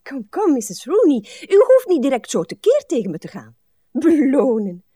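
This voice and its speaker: An actress playing a prim, pious, put-upon spinster in a radio play, fussy and martyred, often hushed. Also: female voice, sits high, wildly swooping pitch, moderate pace, no room sound, clean studio sound. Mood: martyred, huffy.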